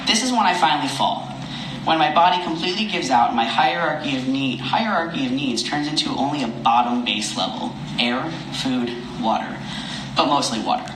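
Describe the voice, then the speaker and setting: A man reading a first-person comic story in a wry, expressive, self-deprecating way. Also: on the high side. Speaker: reader of a story. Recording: echoey room with clear background noise.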